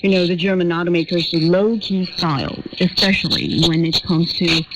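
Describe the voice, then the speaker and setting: A woman's voice: on the low side, moderate pace. Woman hosting radio talk through heavy static. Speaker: radio talk show host. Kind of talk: moderating a panel discussion. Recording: noisy shortwave radio, static and clicks. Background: heavy noise, clicking.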